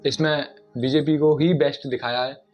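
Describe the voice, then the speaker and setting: A man's voice: medium pitch, fairly even intonation, moderate pace. A man giving casual, argumentative explanatory commentary, putting rhetorical questions to his listeners. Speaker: video commentator. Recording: dull lo-fi audio, music behind. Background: background music.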